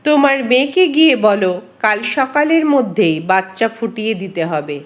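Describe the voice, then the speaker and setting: A woman's voice: on the high side, expressive, normal speed, close to an everyday voice. A woman voicing a demanding king who gives testing commands in a folk tale. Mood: commanding.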